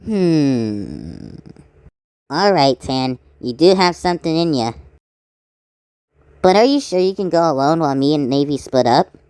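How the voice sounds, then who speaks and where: A woman's voice: deep voice, big pitch swings, measured pace, pauses often, a little mellow, smooth, dry recording, clean studio sound. A woman voicing a blunt, skeptical, teasing character in an amateur cartoon.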